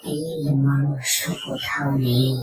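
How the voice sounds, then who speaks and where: Woman reading a scary story, slow n dramatic, lots of echo, suspenseful. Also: very deep voice, fairly even intonation, a crowd in the background. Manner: suspenseful, theatrical, measured phrases. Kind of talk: dramatic first-person horror narration. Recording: echoey, distant, music underneath.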